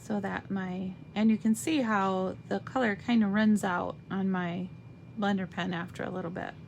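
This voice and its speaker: A woman with a slightly raspy voice giving a chatty live craft demonstration, thinking aloud as she stamps and colors. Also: medium pitch, steady tone, a little mellow, highs cut off, slight background noise. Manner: slow, chatty, thinks aloud while working.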